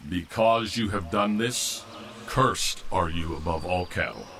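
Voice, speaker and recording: A man playing a stern, commanding God in a Bible audio drama, speaking with measured, solemn authority. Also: sits low, lively intonation, bright, forceful tone, slight background noise, heavily acted. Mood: stern, judging.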